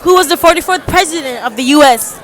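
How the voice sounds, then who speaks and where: A woman's voice: high-pitched, animated, fast talker. A teenage girl asking quiz questions as the host of a casual public interview, in an informal way. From United States, Texas. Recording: outdoor vlog with people chattering around. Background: faint crowd chatter.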